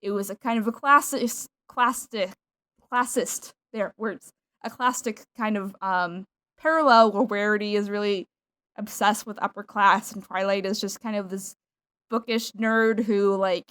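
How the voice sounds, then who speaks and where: A woman's voice: mid-range voice, fairly even intonation, unhurried, fairly bright tone. A young adult woman hosting a podcast, rambling and self-deprecating in casual chat, often laughing. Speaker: podcast host.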